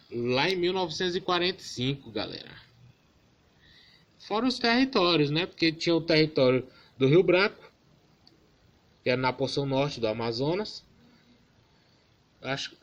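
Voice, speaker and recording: A man's voice: on the high side, lo-fi sound. A man from Maranhão, giving an informal online geography class and correcting himself as he goes. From Maranhão, Brazil. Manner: informal, addresses class as "galera", self-correcting. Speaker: student teacher.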